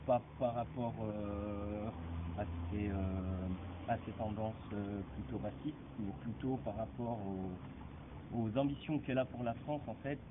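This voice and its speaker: A man's voice: on the low side, slow pace. A young man being interviewed outdoors on a green, thinking aloud and searching for his words. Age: young adult. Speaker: passer-by interviewed in a park. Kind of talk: street interview. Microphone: handheld mic held by interviewer. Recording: phone-like sound, street noise.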